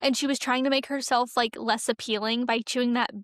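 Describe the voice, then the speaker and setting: A woman's voice: on the high side, steady tone, fairly quick, no echo, studio quality. A young woman co-hosting a casual pop-culture podcast in a chatty, giggly manner. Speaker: podcast co-host.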